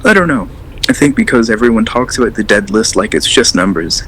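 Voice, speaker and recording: Guy playing a rambling radio caller, deep male voice, halting and earnest, noisy audio. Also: animated, fairly bright tone. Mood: reflective. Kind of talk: audio drama, naturalistic phone-in call.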